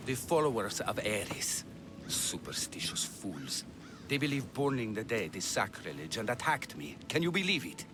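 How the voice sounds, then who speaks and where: A man's voice: on the low side, sing-song, normal speed, music in the background. A man playing an earnest, exasperated ancient Greek healer in a video game, speaking with indignant emphasis. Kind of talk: video game cutscene acting.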